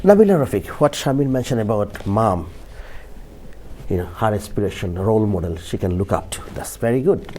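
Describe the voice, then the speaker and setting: A man's voice: lowish voice, expressive, fairly quick, dark tone, slight background noise. A man hosting a television panel discussion, putting questions to his guests in a conversational way. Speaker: TV talk show host.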